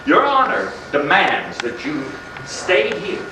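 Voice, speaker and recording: A man's voice: a little high, sing-song, slow, distant mic. A man acting in a stage play as a menacing, unhinged middle-class man, theatrical and sarcastic, ranting with mock politeness. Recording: echoey, noisy stage recording from afar.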